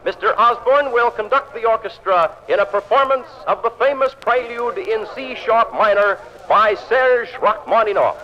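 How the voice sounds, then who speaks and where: An American man playing a courtly 1920s radio announcer, giving a formal, ceremonious, carefully phrased introduction. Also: extremely high pitch. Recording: dull, lo-fi vintage radio sound.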